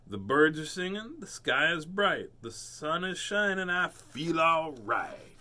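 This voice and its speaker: A man's voice: a little high, very animated, sharp tone, exaggerated voice. A man doing a groovy, laid-back cool cat. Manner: slow, groovy hip talk.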